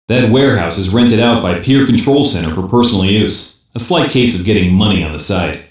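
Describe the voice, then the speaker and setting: A man's voice: on the low side, expressive, fairly quick, close to an everyday voice. An actor playing a cool, matter-of-fact male police operative, giving terse field reports in dubbed anime game dialogue. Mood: cool, factual. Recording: very echoey room sound.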